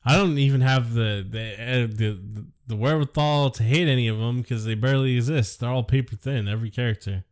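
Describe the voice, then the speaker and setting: A man's voice: medium pitch, brisk, few pauses. Sarcastic man griping about a movie. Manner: sarcastic, dismissive, swears casually. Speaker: podcast co-host. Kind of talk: casual film review chat.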